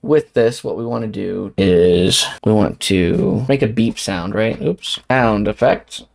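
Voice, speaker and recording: A man hosting a casual software tutorial, informally thinking aloud as he talks himself through each step. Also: fairly low pitch, slow pace, gravelly, slight background noise. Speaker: tutorial host.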